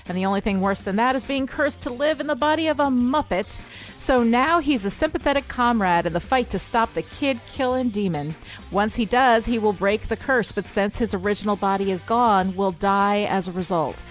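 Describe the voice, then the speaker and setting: A woman's voice: medium pitch, moderate pace, faint music behind. A woman podcast host with a wry manner, moving between scripted recap and casual chat.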